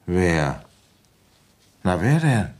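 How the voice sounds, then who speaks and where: A low-pitched, world-weary village police commissioner in a radio crime drama. He is middle-aged and talks in a grumbling, brusque way, with dry resignation. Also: sing-song, extremely slow, darkish tone, exaggerated voice. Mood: brisk, official. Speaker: narrator and lead character.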